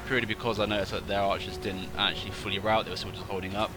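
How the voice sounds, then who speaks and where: A man's voice: on the low side, level tone, racing, over music. Stuffy-nosed man rambling through game commentary. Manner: rambling, self-correcting, thinking aloud. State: slightly congested from a cold. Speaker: gaming channel host. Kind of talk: casual game replay commentary.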